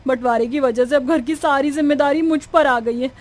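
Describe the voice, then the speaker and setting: A woman playing a haughty, shrill young daughter-in-law. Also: very animated, normal speed. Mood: whining, regretful. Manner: snappish, scolding. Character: haughty, lazy young daughter-in-law, sharp-tongued and indignant. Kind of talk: Hindi animated moral-story acting.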